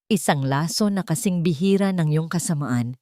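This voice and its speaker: Woman as unshakeable accusing heroine, Filipino accent, steady delivery, dark warm timbre, audiobook drama. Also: low-pitched, moderate pace, no room sound, clean studio sound, lightly acted. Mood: firm accusation. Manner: firm, steady. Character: steady, sharp-minded woman investigator, firmly accusing.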